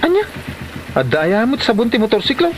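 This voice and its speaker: A man's voice: high-pitched, huge pitch swings, normal speed, slight background noise. A man with an Ilocano Filipino accent playing a teasing, amused buddy in a comedy drama. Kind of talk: Ilocano comedy radio-style drama acting.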